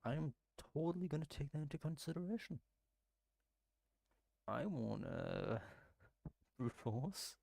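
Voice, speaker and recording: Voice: mid-range voice, very animated, slow pace, vocal fry, pauses often, mellow, no room sound. A video game streamer giving casual, rambling commentary while thinking aloud during play. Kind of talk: casual gameplay livestream commentary.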